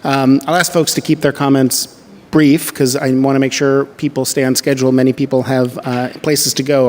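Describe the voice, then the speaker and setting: Even-toned male panel moderator. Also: medium pitch.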